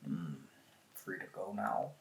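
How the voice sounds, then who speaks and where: A man playing an evasive suspect in a soft-spoken ASMR roleplay, talking in a halting, hushed murmur with thoughtful hums. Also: lively intonation, reverberant, exaggerated voice. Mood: guarded. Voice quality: low, creaky, hushed. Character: evasive, slow-talking male suspect under questioning.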